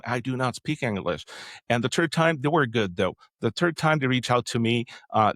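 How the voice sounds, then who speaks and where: A man's voice: medium pitch, fairly bright tone, no echo. A man in his forties from Quebec, a native French speaker with a Quebec French accent, telling a long career story as an interview guest with many fillers and restarts. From Quebec, Canada. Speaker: podcast guest, developer advocate. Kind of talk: casual interview answer.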